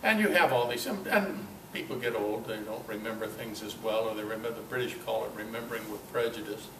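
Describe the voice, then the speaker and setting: Older man, halting informal talk, dry self-deprecating humor, roomy sound, heavy background noise. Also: fairly low pitch. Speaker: guest speaker at a club meeting. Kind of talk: informal talk to a club audience. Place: club meeting room, indoors. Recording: roomy, distant mic, noisy amateur recording.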